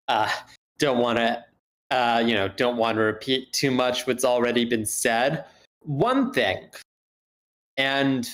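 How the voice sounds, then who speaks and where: A man's voice: mid-range voice, a bit bright, poor audio. A man giving spontaneous analytical feedback in an online meeting, thinking aloud and hedging as he goes. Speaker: workshop participant giving feedback.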